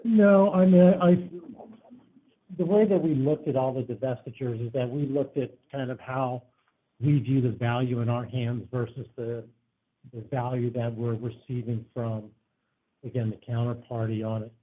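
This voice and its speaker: A male company executive answers questions on an investor call in measured business language. His voice is husky and sits at an average pitch. The line is thin and phone-like. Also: fairly even intonation. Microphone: conference phone call. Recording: narrow tinny phone line.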